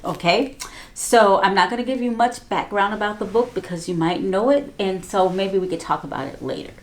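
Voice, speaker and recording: A woman's voice: mid-range voice, a little fast, echoey room. A woman reading a children's picture book aloud as a teacher, with chatty asides to young listeners. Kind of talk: storybook read-aloud.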